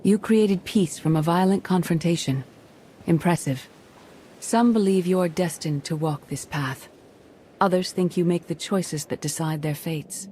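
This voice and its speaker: An actress playing a calm, enigmatic female mystic in a video game, speaking in a measured, solemn, cryptic way. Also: on the low side, slight background noise, lightly acted. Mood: measured, approving. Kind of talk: cinematic game voice acting.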